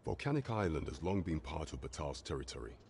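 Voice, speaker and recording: Very deep, grave guard captain. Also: male voice, big pitch swings, creaky, a little mellow, slight background noise, heavily acted. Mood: grave, pondering. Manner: formal, measured, courtly. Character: grave, loyal guard captain in a dark fantasy game. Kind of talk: scripted video game dialogue in archaic English.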